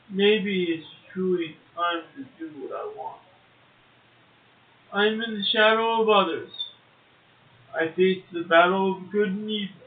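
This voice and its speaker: Slow, high-pitched man on a phone line. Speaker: poetry show host. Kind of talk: slow poetry reading and chat. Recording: tinny phone line, echoey.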